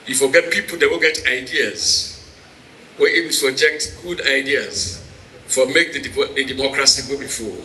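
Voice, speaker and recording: A man's voice: mid-range voice, normal speed, pushed and bright. A man with a Sierra Leonean Krio accent welcoming a gathering as moderator of a meeting. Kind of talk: informal welcome speech to a gathering. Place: hall at a town hall meeting. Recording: echoey hall with background noise.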